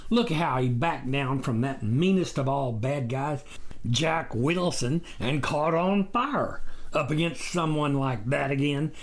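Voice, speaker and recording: Sneering, dismissive naysayer played by a male narrator, barked out slowly in an Old West storybook tale. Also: mid-range voice. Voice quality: barking. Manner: scornful, dismissive. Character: gruff, scoffing, mocking imagined cynic with Old West talk.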